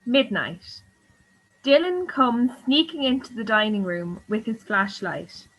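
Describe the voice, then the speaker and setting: A woman's voice: fairly high pitch, animated, takes it slowly, fairly bright tone, highs cut off. A woman reading scripted stage directions as the narrator of a radio play.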